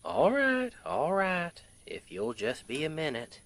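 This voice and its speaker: A man's voice: on the high side, wildly swooping pitch, exaggerated voice. A man playing a doting country husband with a Southern accent in an intimate ASMR roleplay, folksy and affectionate. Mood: warm, contented. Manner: affectionate, unhurried, folksy, gently teasing.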